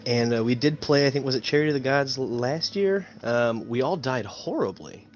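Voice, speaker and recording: A man's voice: mid-range voice, expressive, fairly quick. A man who plays tabletop games, introducing himself in casual chat. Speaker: tabletop game player.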